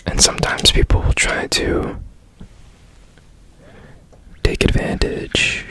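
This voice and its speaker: Man acting secretive repairman, crisp bright whisper, slow, polite, reassuring, curious, ASMR roleplay. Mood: hushed, curious. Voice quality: breathy whisper. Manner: slow, hushed, polite, halting.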